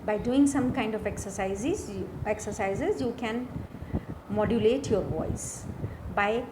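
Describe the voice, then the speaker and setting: A woman with an Indian accent teaching a lesson from slides in a deliberate way, repeating key phrases. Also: medium pitch, lively intonation, noisy background. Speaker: teacher, lecturer. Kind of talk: instructional lesson explaining slides.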